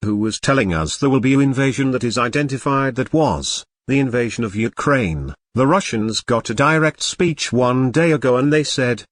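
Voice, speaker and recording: A man's voice: medium pitch, no echo, close to an everyday voice. A man voicing a formal emergency alert announcer in a scripted, synthetic-sounding broadcast style.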